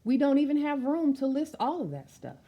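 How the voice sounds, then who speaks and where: A woman's voice: high voice, sing-song, a little fast, dull audio. A woman reading a children's picture book aloud, speaking expressively and directly to young listeners with chatty asides. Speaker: storytime reader.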